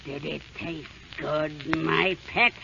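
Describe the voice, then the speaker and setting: A woman's voice: low-pitched, steady tone, slow pace, vocal fry, full character voice. An actress playing a wicked old witch queen disguised as a crone, with a crackly, cackling voice, coaxing and then gloating, in a 1930s radio drama. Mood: wheedling. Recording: scratchy lo-fi 1930s radio broadcast.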